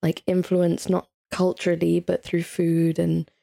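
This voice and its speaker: Creaky, low-voiced young British woman. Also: completely flat delivery, a little slow, dark tone. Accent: British English. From England, raised partly in Italy and Saudi Arabia. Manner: chatty, informal, often says "like". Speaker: podcast guest. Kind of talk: casual conversational storytelling.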